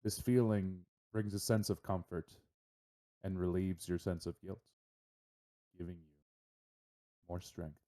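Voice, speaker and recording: Male game master, low and soft-edged, alternating story narration with table chat. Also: fairly even intonation, unhurried, pauses often.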